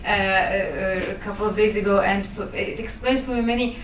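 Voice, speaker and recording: A woman's voice: medium pitch, steady tone, normal speed. A woman from Russia who lived in Israel, a native Russian speaker, commenting eagerly from an audience with many restarts. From Russia, adult life in Israel. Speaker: audience member, Hebrew teacher. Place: audience in echoey lecture room. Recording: distant, echoey, faint room noise.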